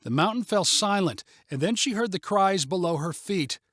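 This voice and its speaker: A man reads a story as scripted audiobook narration. His voice is fairly high and very animated in pitch. The recording is close and dry. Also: moderate pace, no echo.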